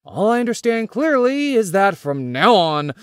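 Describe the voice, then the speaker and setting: A man's voice: high voice, big pitch swings, moderate pace, a bit bright, smooth, dry recording, studio quality. A man playing a hardworking, teasing young best friend in a visual novel. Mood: casual, wry. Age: young adult.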